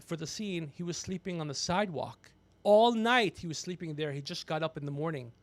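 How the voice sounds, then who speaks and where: A man hosting a livestream, rambling comedically with blunt, profane, teasing banter and a creaky voice. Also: a little high. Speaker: podcast livestream host.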